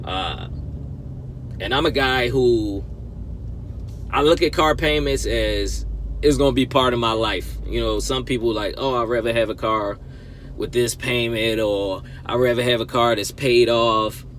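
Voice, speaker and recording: A man's voice: mid-range voice, measured pace. A man casually telling a story as a car vlogger, conversational and emphatic, breaking his talk into short phrases. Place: inside a car while driving. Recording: loud road noise inside a car. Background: car and road noise.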